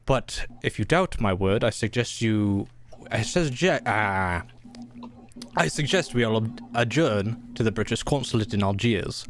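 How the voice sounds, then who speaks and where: A man playing a formal, composed gentleman detective in a comic radio play, slightly halting. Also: lowish voice, expressive. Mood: formal, persuasive.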